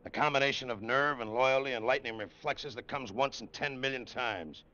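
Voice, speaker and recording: An actor playing a concerned air force colonel in an old-time radio drama, with a clipped, authoritative manner. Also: male voice, medium pitch, moderate pace, bright, forceful tone, close to an everyday voice. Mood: grave, pondering. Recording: muffled vintage radio recording.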